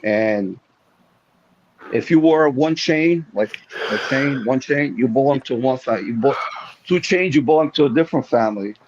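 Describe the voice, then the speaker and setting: A man's voice: medium pitch, lively intonation, moderate pace, darkish tone. A man from New Jersey who partly grew up near Naples, chatting casually on a call-in podcast, rambling and restarting his sentences. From New Jersey, raised partly near Naples, Italy. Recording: dull lo-fi audio.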